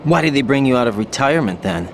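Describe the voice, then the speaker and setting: An actor playing an anxious, earnest young special agent in a video game, intense and questioning. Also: male voice, medium pitch, animated, quick pace, a little hiss or hum, lightly acted. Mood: tense, demanding. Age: young adult. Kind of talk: video game cutscene acting.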